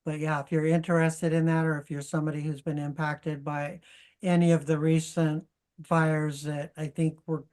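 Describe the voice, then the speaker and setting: A middle-aged woman with a low voice introduces a speaker on a video call. She talks informally and haltingly, correcting herself as she goes, like a host working loosely from notes. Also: little pitch movement, darkish tone. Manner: halting, self-correcting, conversational.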